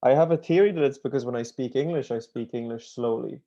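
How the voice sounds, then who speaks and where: A man co-hosting a livestream in casual chat, talking slowly and rambling with many fillers over a video call. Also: medium pitch, warm tone, dull audio. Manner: slow, meandering, lots of "like" and restarts. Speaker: co-host of a translation livestream.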